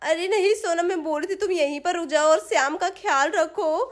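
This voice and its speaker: A woman's voice: extremely high pitch, fairly quick. A woman playing an affectionate mother in a children's story, sweetly coaxing her child.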